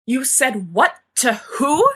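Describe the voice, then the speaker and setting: An outraged teenage schoolboy in a storybook drama, performed by a woman. The voice is pushed high and has a husky edge. He splutters with exasperation and leans hard on his words. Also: sing-song, takes it slowly, heavily acted. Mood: shrieking outrage. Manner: shrieking, spluttering, emphatic. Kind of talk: dramatised fan-fiction audiobook reading.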